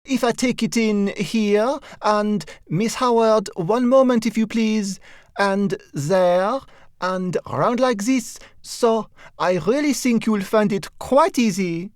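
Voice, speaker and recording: A man voicing a brisk, fussy society dressmaker madame who chatters out instructions in broken phrases, in an audiobook story. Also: high-pitched, no echo, clean studio sound, voice pushed up. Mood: brisk, busy.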